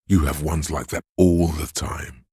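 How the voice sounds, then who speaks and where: An actor voicing a seductive, hedonistic inner voice of addiction and lust in a video game, savoring words in a husky, insinuating way. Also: male voice, fairly even intonation, a little slow, darkish tone, dry recording, studio quality, exaggerated voice. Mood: coaxing, knowing. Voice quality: deep, gravelly, husky. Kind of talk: video game character narration.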